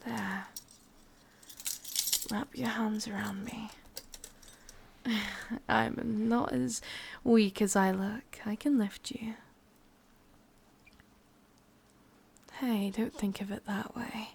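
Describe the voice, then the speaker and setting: Slow whispering English witch, acted by a woman, possessive. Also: mid-range voice, steady tone, heavily acted. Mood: doting, possessive. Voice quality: breathy, whispery. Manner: slow, coaxing, intimate, softly commanding. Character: possessive, doting, sadistic young female witch captor. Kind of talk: whispered ASMR roleplay.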